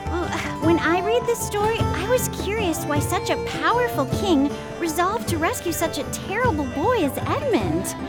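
A woman's voice: very high-pitched, big pitch swings, normal speed, hardly any pauses, loud music behind the voice. A woman playing an earnest, bookish cartoon schoolgirl who speaks in a precise, formal, thoughtful way. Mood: pondering.

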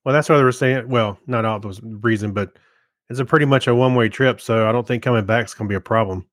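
A man co-hosting a podcast, chatting casually in a low-key, tentative way. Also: quick pace, dry recording, clean studio sound. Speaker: podcast co-host. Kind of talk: casual chat.

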